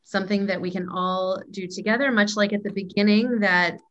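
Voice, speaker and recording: A woman's voice: mid-range voice, steady tone, a little fast, a bit bright, highs cut off. A woman hosting an online event, talking casually and off the cuff over a video call. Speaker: event host.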